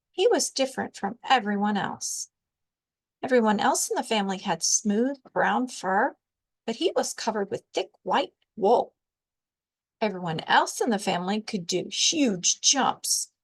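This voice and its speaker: A woman reading a children's storybook aloud as a librarian storyteller, with deliberate, expressive narration. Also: mid-range voice.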